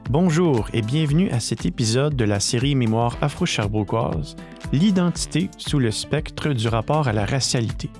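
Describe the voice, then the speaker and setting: A man, hosting and narrating a scripted podcast in a measured, read-aloud way. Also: medium pitch, animated, music in the background. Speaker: podcast host.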